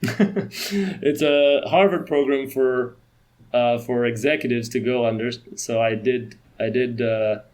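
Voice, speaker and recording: A man in his thirties from Romania chats as a podcast guest, talking in quick bursts with restarts and small laughs. His voice sits in a middle register. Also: medium pitch, a little mellow. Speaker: podcast guest, company CEO. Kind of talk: casual interview chat.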